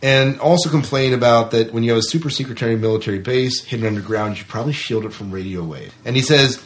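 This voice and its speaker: A man's voice: mid-range voice, fast talker. A man co-hosting a podcast, talking casually about films and reading out reviews. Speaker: podcast co-host.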